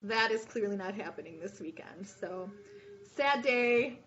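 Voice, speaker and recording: A chatty woman runs a yarn shop and talks about it on a video call. Her voice sits at an ordinary pitch and she laughs often. The audio comes through slightly muffled. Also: expressive, unhurried, fairly bright tone. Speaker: yarn shop owner and hand dyer. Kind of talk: casual video-call chat.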